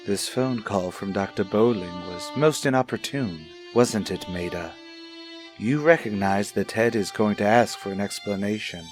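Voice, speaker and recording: A man's voice: fairly low pitch, normal speed, soft background music, close to an everyday voice. A man playing a suspicious husband in an old-time radio soap, speaking with formal, pointed politeness. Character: suspicious, coolly courteous husband, probing his wife.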